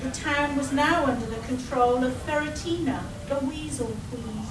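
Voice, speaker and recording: Woman acting an evasive high-voiced village woman. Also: moderate pace, crowd noise, lightly acted. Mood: ominous storytelling. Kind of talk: live comedic radio play acting. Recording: echoey hall, far mic, noisy.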